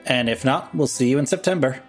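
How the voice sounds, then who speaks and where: A man's voice: medium pitch, brisk. A man hosting a podcast, reading scripted announcements and credits in a narrating style. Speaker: podcast creator and host. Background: music.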